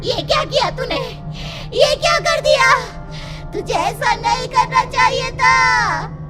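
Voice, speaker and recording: A menacing female spirit from a cartoon horror tale. The voice is extremely high and defiant, full of threat. Music plays clearly underneath. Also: expressive, slow pace, heavily acted. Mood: shocked, furious. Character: menacing evil spirit woman haunting a doll. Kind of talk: Hindi animated horror story voice acting. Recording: music behind the voice.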